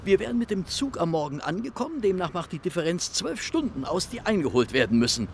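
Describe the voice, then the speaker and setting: A man's voice: high voice, sing-song, fast, gravelly, a little mellow, slight background noise, heavily acted. A man playing a helpful, calculating detective in an audio drama. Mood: matter-of-fact, eager.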